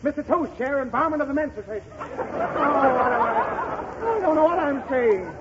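A man's voice: very high voice, lively intonation, moderate pace, a loud crowd. An actor playing a flustered, exasperated American father in a 1940s radio comedy, blustering and self-important. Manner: exasperated, blustering, formal when orating. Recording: muffled, faintly noisy vintage radio recording.

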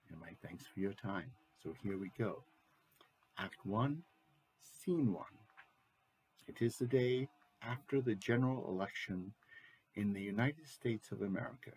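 A man in his sixties giving a measured, halting promotional announcement and reading to camera as an author, recorded on a webcam mic in a room at home. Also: lowish voice, darkish tone, husky, rough recording. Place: small room at home, indoors. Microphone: computer webcam microphone at desk distance.

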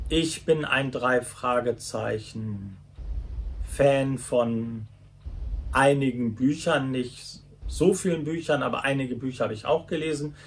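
A man giving a casual unboxing commentary in halting short phrases. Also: medium pitch, gravelly, slightly rough. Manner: halting short phrases while handling items. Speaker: YouTube toy reviewer. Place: small furnished room at home, indoors.

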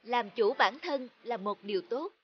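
A woman voicing a young goddess of music in a cartoon, gentle, measured and earnest. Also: a little high, animated, husky, highs cut off. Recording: music under the voice.

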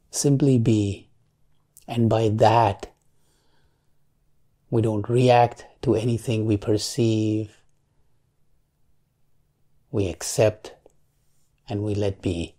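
Male meditation guide, mellow tone, slow pace, calm, measured phrasing, frequent long pauses. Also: mid-range voice.

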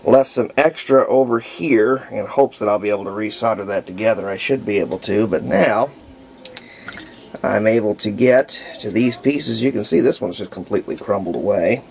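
An American man in his fifties narrates hands-on repair work unscripted, thinking aloud in a halting way as he goes. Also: fairly low pitch, darkish tone. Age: 50s. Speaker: hobbyist radio restorer. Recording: clear background noise.

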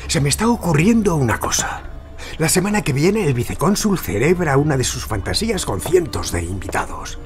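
An actor playing a wily, flattering Lebanese antiquities dealer in an audio drama. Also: male voice, on the high side, moderate pace, faint music behind. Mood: scheming.

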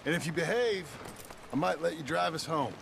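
Gruff American western dad, curt, evasive, creaky voice, video game dialogue, noisy outdoor background. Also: male voice, fairly high pitch, animated, fairly quick. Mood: firm, fatherly. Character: gruff, evasive frontier father, weary and stern. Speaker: game character, father. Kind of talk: naturalistic video game acting. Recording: game dialogue over wagon and outdoor noise.

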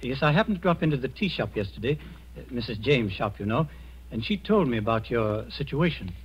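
An actor playing a polite, calm male psychiatrist with a courteous, measured delivery in 1940s radio drama. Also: mid-range voice, close to an everyday voice. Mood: politely helpful. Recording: muffled, noisy 1940s radio recording.

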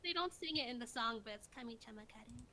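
A woman's voice: fairly high pitch, animated, fast, crowd noise. A woman chatting casually and playfully as a livestream quiz contestant, informal and giggly. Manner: giggly, informal slangy talk.

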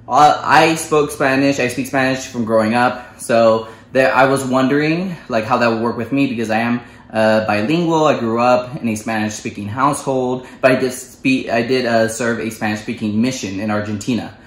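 Guy in his 30s vlogging at home, lots of ums and restarts, webcam mic, roomy echo. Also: medium pitch. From United States. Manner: halting, many fillers and restarts, gestures with his hands. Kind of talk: personal account to camera. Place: small living room, indoors. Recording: echoey room.

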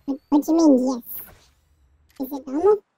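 Squeaky, pitched-up little cartoon creature. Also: male voice, moderate pace, dark tone, no room sound. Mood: pestering. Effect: pitched-up voice changer.

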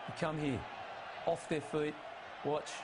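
A man's voice: steady tone, slow pace, a loud crowd, heavy background noise. Emphatic man breaking down match footage. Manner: emphatic, rises in pitch to stress key calls. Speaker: rugby analyst. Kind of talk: studio match analysis.

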